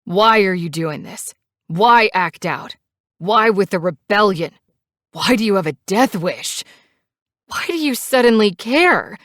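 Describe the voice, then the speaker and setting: A woman's voice: low-pitched, steady tone, measured pace, no echo, studio quality. Woman acting angry, domineering male biker. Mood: furious. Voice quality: gravelly roar when angered. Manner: confrontational, hammering questions.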